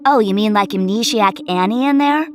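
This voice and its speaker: A woman's voice: mid-range voice, normal speed, close to an everyday voice. A woman playing an agitated, sarcastic young female tenant who demands answers in an emphatic, exasperated way in an audio horror story.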